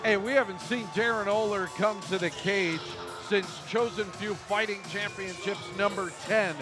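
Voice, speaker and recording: High-pitched male fight commentator. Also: steady tone, moderate pace, over music. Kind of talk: live sports commentary. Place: live arena event.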